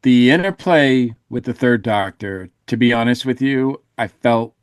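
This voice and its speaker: A man with a mid-pitched voice gives a casual podcast review. He feels his way through his opinions, often hesitant as he searches for words, with a close, dry sound. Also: measured pace, smooth, dry recording. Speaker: podcast co-host reviewing audio dramas.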